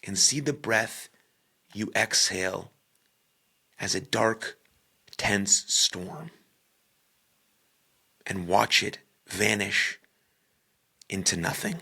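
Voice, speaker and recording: A man leading a guided meditation in a calm, deliberate way, with a breathy, husky voice and long pauses between short phrases. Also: fairly low pitch.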